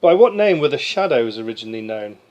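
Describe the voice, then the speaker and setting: A man's voice: medium pitch, sing-song, fast, a little mellow, dull audio, faint music behind. A man acting as quiz master, reading questions aloud to contestants at a live quiz. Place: live quiz night, group in a room.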